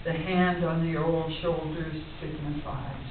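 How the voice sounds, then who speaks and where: Older female poet at a live reading, measured delivery; muffled, phone-like sound with faint hiss. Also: sits low, little pitch movement. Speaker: poet reading her own poems. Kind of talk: poetry reading with casual introductions to an audience. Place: indoor room at a conference, speaking at a lectern. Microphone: distant camera microphone. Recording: muffled, hissy recording from far off in echoey room.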